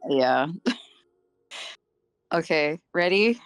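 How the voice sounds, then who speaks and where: Casual gamer woman, playful and sweary, low voice with big swings, close dry sound. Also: lively intonation, extremely slow, clean tone, no room sound, soft background music, low-quality recording. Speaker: gamer friend pulling alongside. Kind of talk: casual excited chat while gaming.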